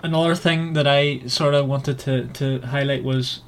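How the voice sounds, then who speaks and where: A man casually discussing a book as a podcast co-host, often halting and repeating words. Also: medium pitch, steady tone, slight background noise.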